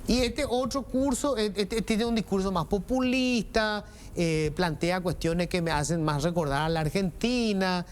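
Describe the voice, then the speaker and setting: A man's voice: sits high, a little slow, hardly any pauses. A man hosting a political talk show, asking long questions full of hesitations and fillers.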